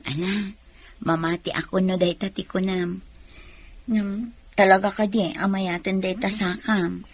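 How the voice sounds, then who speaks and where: A woman's voice: mid-range voice, little pitch movement, a little slow, no room sound. A woman acting in a radio drama as a coy, guarded young sweetheart.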